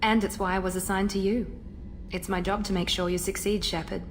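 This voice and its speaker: A woman's voice: medium pitch, level tone, a little fast, a little hiss or hum, lightly acted. A woman voicing a supremely self-assured operative in a video game, businesslike, formal and matter-of-fact. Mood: confident, businesslike. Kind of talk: video game dialogue acting.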